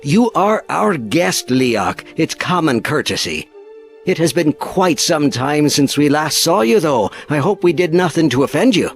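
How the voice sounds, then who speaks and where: A man's voice: a little high, big pitch swings, unhurried, soft background music. A man playing a courteous, condescending nineteenth-century naval captain, formal and theatrical, in an audio drama. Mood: courteous, probing.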